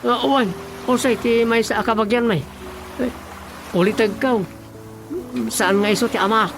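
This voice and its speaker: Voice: mid-range voice, fairly even intonation, moderate pace, close to an everyday voice. An actor with an Ilocano accent playing a wary, blunt villager, muttering hesitantly in a radio comedy drama. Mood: wary, doubtful. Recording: loud music bed behind voice.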